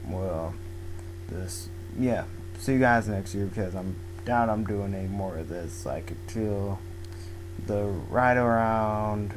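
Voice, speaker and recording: A young man talks at an unhurried pace in a lowish voice that is wearing hoarse. He rambles through a casual review, packing his sentences with fillers and restarts, into a home microphone with a faint hum behind him. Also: darkish tone, poor audio. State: losing his voice. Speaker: manga video blogger. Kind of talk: casual spoken manga review.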